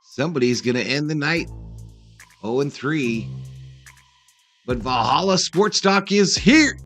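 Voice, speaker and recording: A man's voice: fairly high pitch, animated, a little slow, a bit bright, faint music behind. A man hosting a sports livestream, giving informal, chatty pregame commentary and talking directly to his viewers. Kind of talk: casual live pregame commentary.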